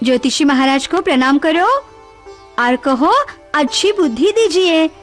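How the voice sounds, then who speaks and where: A woman's voice: sits high, measured pace. A woman voicing a cheerful, doting village mother in a cartoon mythological drama, bubbly and affectionate. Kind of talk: animated mythological drama dub. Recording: background music under dialogue.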